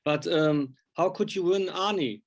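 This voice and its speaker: A man's voice: on the high side, a little slow, very noisy. A man speaking non-native English, interviewing informally with rambling, enthusiastic fan talk. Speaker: interviewer, film and music journalist.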